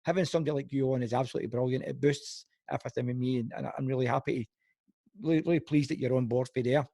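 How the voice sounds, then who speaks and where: Gravelly Glaswegian man hosting a podcast. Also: medium pitch, fairly even intonation, dark tone, no room sound, studio quality. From Scotland. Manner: chatty, friendly, lots of quick "yep" backchannels. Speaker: podcast host. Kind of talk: casual interview.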